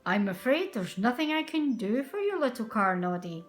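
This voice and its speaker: A woman's voice: a little high, very animated, moderate pace, soft background music. A woman voicing a kindly male car mechanic, regretful and matter-of-fact, in a children's storybook.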